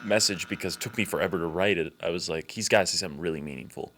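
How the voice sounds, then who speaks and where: A man's voice: deep voice, rapid-fire, fairly bright tone. A man giving casual, unscripted commentary as a filmmaker, with a blunt, informal, sweary way of talking.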